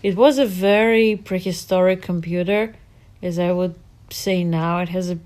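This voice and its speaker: A woman, answering interview questions about her childhood in an unhurried way, searching for her words. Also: fairly low pitch, fairly even intonation, darkish tone. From Russia. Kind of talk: casual childhood memories.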